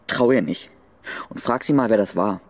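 An actor playing the hateful, taunting ghost of a dead young friend, inciting and urging in a German radio drama. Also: male voice, on the low side, moderate pace. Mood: sneering, distrustful.

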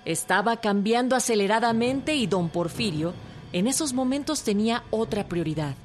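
A woman's voice: fairly high pitch, lively intonation, normal speed, soft background music. A woman delivering scripted narration for a historical radio drama.

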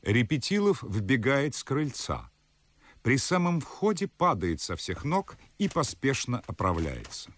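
A narrator reading scripted stage action for a radio play. The voice is mid-pitched and extremely varied in intonation. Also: male voice, big pitch swings, unhurried. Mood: announcing.

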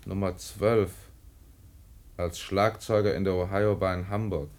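An actor playing a wry, level-headed private detective in a conversational 1950s German radio drama style. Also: male voice, sits low, steady tone, a little slow, darkish tone. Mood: amused, wry.

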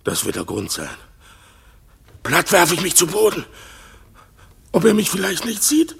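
An actor playing a resentful, fearful island monster in a German radio play, theatrical and gravelly, swinging from growled curses to panicked cries. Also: male voice, sits high, wildly swooping pitch, measured pace, exaggerated voice. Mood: fearful, furtive. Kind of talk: German radio play acting of classic verse.

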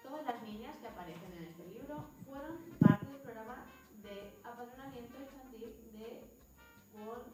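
A woman's voice: fairly high pitch, moderate pace. A woman radio presenter reading from a script in a very echoey recording, away from the mic. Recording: very echoey, distant mic, music over voice.

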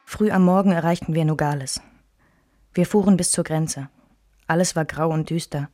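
A woman playing a wistful memoirist who recalls her marriage in measured radio drama narration. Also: low voice, mellow, close to an everyday voice. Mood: subdued, wistful.

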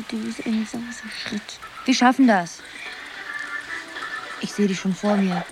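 A woman's voice: a little high, natural voice. An actress as a dreamy young woman gamer, music behind. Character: Zoe, dreamy young woman absorbed in a computer game. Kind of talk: radio drama acting.

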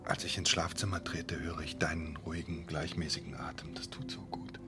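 Radio drama husband: deep, hushed, intimate, remorseful, loud score underneath. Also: male voice, fairly even intonation, fairly quick. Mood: relieved, tender. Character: remorseful, tender husband confessing to his wife. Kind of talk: intimate modern radio play acting. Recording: loud music behind the voice.

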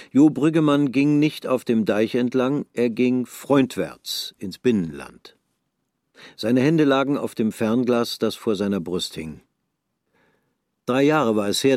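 A male narrator reads literary prose for a radio drama. His voice sits on the low side. The delivery is measured and sober. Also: darkish tone, no room sound, studio quality.

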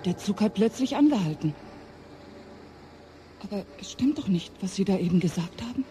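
A woman's voice: mid-range voice, expressive, moderate pace, warm tone, faint music behind, close to an everyday voice. A woman acting in a radio play as a frightened passenger, anxiously trying to stay composed. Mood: anxious recounting. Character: frightened Englishwoman trapped on a stalled train.

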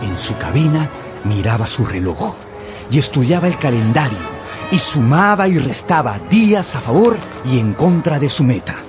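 A man's voice: mid-range voice, very animated, moderate pace. A man narrating a radio drama with theatrical, emphatic storytelling. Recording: music behind the voice.

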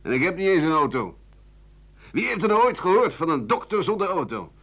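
A disgraced old writer in a radio drama, bitter and sardonic. His grumbling, theatrical delivery swings widely in pitch. The old recording sounds dull and muffled. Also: very animated, brisk. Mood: wry, bitter. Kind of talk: 1980 Dutch radio play monologue.

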